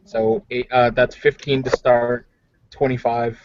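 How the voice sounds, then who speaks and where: A man's voice: mid-range voice, little pitch movement, a little slow, noisy background. A man bantering casually and wryly as a player in a tabletop roleplaying game over online voice chat. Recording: dull, dry lo-fi voice chat.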